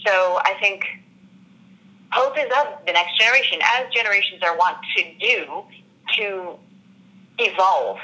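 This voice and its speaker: An actress in an interview, talking through her character in an earnest, reflective way and often pausing to search for words. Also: mid-range voice, pushed and bright, low-quality recording. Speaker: actress discussing her role. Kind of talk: interview answers.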